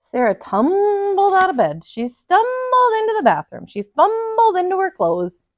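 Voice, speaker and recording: A woman in her thirties, a kindergarten teacher, reading a children's picture book aloud at home with expressive voices. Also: very high voice, measured pace, no room sound, clean studio sound. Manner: expressive, lively character voices. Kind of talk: children's storybook read-aloud. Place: living room at home.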